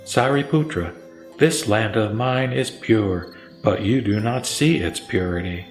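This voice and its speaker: A man voicing a calm, authoritative male spiritual teacher, solemn and instructive, in a scripture audio drama. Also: on the low side, unhurried, reverberant, faint music behind, close to an everyday voice.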